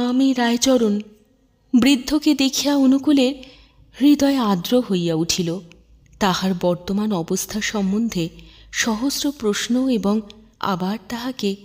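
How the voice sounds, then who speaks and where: A woman storyteller narrating literary fiction in an expressive, measured, dramatic way. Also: mid-range voice.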